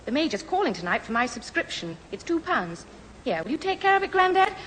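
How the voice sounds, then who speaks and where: Bossy young lady, highish voice, very animated, prim, indignant, old radio comedy, faint hiss. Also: brisk. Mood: earnest. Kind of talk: radio sitcom acting. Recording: hissy old radio recording, slight hall.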